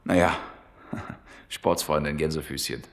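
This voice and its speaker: A man's voice: a bass voice, fairly even intonation, slow pace, creaky, exaggerated voice. A man playing a working-class man in his forties in a radio play, casually probing with an air of familiarity. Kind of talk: naturalistic radio drama dialogue.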